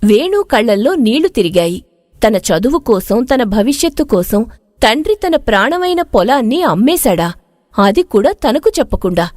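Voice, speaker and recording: A woman reading an expressive scripted moral story as its narrator. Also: fairly high pitch, normal speed, dry recording, studio quality.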